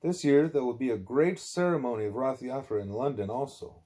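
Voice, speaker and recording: A man with a measured, plain-spoken way of talking, reading aloud or speaking as a parent at home. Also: medium pitch, warm tone. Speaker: reader, parent putting a child to bed. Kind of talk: reading a religious text aloud, with quiet parental chat.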